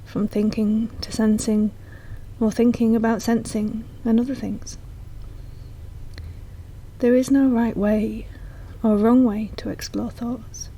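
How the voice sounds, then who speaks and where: A woman narrating a guided meditation in a soft, slow, soothing manner, as a guide speaking directly to the listener. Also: fairly high pitch, husky, rough recording.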